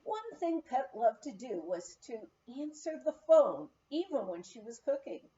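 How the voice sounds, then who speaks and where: Female audiobook reader, mellow and unhurried, expressive memoir storytelling, slightly muffled sound. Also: high-pitched. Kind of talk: memoir read aloud, storytelling. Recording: slightly dull, lo-fi recording.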